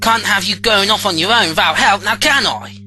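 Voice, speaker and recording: An actor playing a loyal young male companion in an audio drama, speaking in a folksy, rough-grammared way. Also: mid-range voice, fairly quick, bright, forceful tone, soft background music. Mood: earnest.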